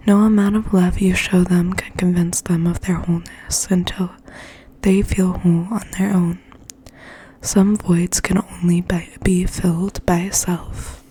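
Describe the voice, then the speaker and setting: Whispering woman reading softly, flat and hushed. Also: lowish voice, a little hiss or hum. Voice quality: breathy, mostly unvoiced whisper. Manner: slow, gentle, hushed. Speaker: ASMR host and poetry reader. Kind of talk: whispered ASMR poetry reading with soft chat.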